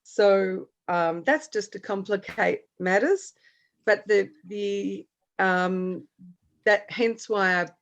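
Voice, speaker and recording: Slow, croaky woman on a video call. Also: mid-range voice. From Australia. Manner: unhurried, hesitant with many fillers. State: hoarse from a cold. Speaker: equine psychotherapy trainer. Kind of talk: video meeting introduction. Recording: dull lo-fi video call audio.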